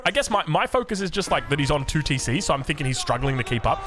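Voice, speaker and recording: A man's voice: on the high side, big pitch swings, fast talker, over music. An Australian man giving live strategy game commentary in a chatty, enthusiastic, self-correcting way. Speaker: esports caster of a strategy game.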